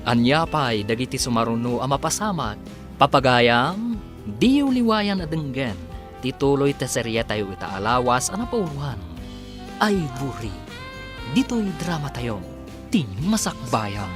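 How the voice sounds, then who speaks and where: A man's voice: mid-range voice, big pitch swings, unhurried, over music. A man with a Filipino accent narrating and announcing a radio drama in a dramatic scripted style.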